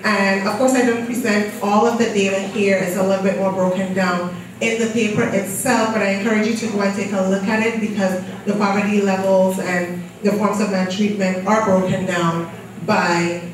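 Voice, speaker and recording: A woman's voice: mid-range voice, flat delivery, normal speed, slight background noise. A woman presenting her research and moderating a panel, explaining in a conversational way. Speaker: graduate student presenter and moderator. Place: lecture hall. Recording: echoey hall from far off with audience.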